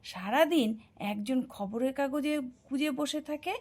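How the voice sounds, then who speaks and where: A woman plays a weary cartoon housewife and mother. Her voice is high, and she scolds and nags in a bossy way. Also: unhurried, a little mellow. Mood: fed up. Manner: nagging, bossy. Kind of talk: cartoon voice acting.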